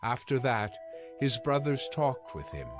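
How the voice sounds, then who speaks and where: A man with a medium-pitched voice narrates scripture from a script. His delivery is measured and storylike, with soft music underneath. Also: very animated. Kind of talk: scripted dramatized Bible narration. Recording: music behind the voice.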